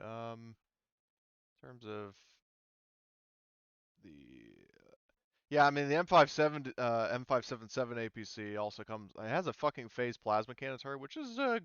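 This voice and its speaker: A man's voice: mid-range voice, big pitch swings, moderate pace, a bit bright, clear, resonant tone, no room sound, lo-fi sound. A man acting as game master, talking players through a tabletop game in a casual, informal way with some swearing.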